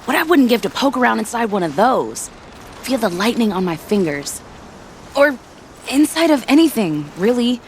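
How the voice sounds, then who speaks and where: A woman's voice: on the high side, very animated, normal speed, a little hiss or hum. A woman playing a cheerful, eager young weapons tinkerer in a video game, chatty and playful. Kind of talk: video game dramatic acting.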